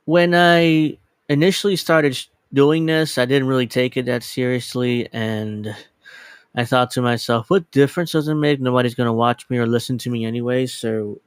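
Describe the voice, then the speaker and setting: A man's voice: medium pitch, clean tone, dry recording, studio quality. A man in his late thirties hosting a casual livestream, rambling and correcting himself as he chats into a desk microphone. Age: 30s. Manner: rambling, self-correcting, chatty. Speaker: livestream host. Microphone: desk USB microphone.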